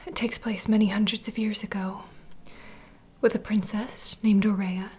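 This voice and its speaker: A woman playing a knowing, secretive vampire bartender in an ASMR roleplay, speaking in a soft breathy whisper as an unhurried, gentle mentor. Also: mid-range voice, level tone, natural voice. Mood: hushed storytelling. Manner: unhurried, confiding storyteller. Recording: close whisper over clear ambient noise.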